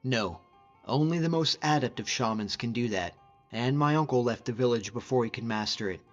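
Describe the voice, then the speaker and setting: A man's voice: mid-range voice, animated, normal speed, lo-fi sound, faint music behind, lightly acted. A man voicing a hardy, easygoing hunter and frost mage as a fantasy game companion, talking informally and sincerely in a reflective, plain-spoken way. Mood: fond, reminiscing. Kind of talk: conversational scripted game character dialogue.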